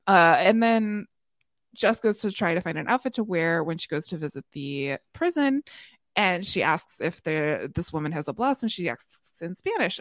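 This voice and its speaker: Lower-voiced woman podcast host, lively. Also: no room sound, clean studio sound. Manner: lively storyteller who laughs easily. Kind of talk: casual chat recapping a TV episode.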